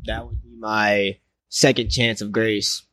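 A man's voice: lowish voice, level tone, takes it slowly, noisy background. A nineteen-year-old man giving brief, hesitant answers in a casual street conversation. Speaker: interviewee.

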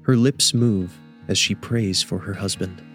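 Male narrator for scripted radio drama, measured storytelling delivery, lower-pitched voice, plucked guitar music behind. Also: a bit bright. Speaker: host and narrator.

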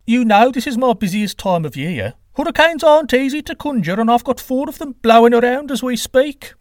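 A man's voice: lively intonation, fairly quick, dry recording, clean studio sound. A man voicing an indignant, flustered wind god who is easily riled, in a comic story. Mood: defensive. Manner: high, flustered, indignant exclaiming.

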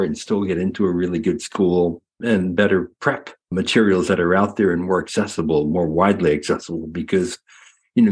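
A man with a low, husky voice hosts a casual panel discussion. He talks through statistics step by step in a relaxed, conversational manner before handing off to a guest. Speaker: host moderating a panel.

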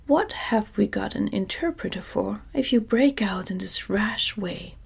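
A woman softly whispering the lines of a pompous male scarecrow king in a storybook reading. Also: medium pitch, a little slow, creaky, slightly rough, heavily acted. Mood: fussy.

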